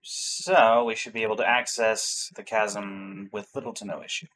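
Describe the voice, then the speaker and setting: A man's voice: on the low side, steady tone, normal speed, fairly bright tone, slight background noise, rough recording. A man commentating casually on a video game, musing aloud and reading bits of on-screen text. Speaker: gaming streamer reacting to a story quest. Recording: faint game music behind.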